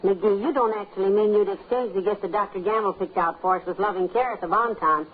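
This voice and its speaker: An American woman playing a patient, gently teasing housewife with a dry manner in a 1950s radio comedy. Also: mid-range voice, fast talker, lightly acted. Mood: indulgent. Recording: tinny, faintly noisy old radio recording.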